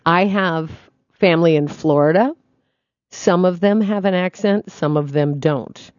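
A radio co-host in casual banter, chatty and full of quick short reactions. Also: mid-range voice, no room sound, muffled recording.

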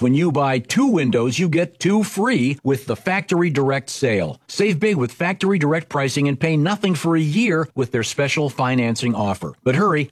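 A man reading a radio commercial as a brisk sales pitch. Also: no echo, clean studio sound. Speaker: commercial announcer. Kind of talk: radio ad read.

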